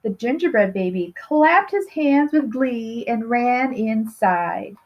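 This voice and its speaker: Very animated woman reading a children's story. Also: a little high, slow, muffled recording. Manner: expressive, stretching key words, asking questions of young listeners. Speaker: storybook reader. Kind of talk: read-aloud storytelling for young children.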